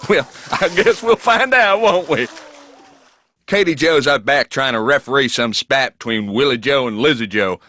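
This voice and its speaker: An actor playing a folksy, wry, easygoing uncle in a video game. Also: male voice, mid-range voice, animated, moderate pace, bright, forceful tone, no room sound. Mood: amused, folksy. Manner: folksy, wry, laid-back.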